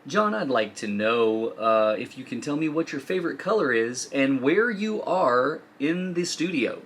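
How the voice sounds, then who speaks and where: Bright-voiced man joking through a gadget demo. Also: medium pitch, expressive, a little slow, slight background noise. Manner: joking, teasing, informal. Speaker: host of a product review show. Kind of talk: casual unboxing and product demo. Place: studio.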